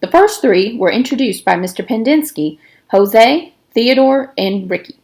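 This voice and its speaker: A woman's voice: on the high side, animated, measured pace, a little mellow. A woman narrating a storybook aloud, acting out the dialogue with emphasis. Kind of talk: expressive storybook read-aloud.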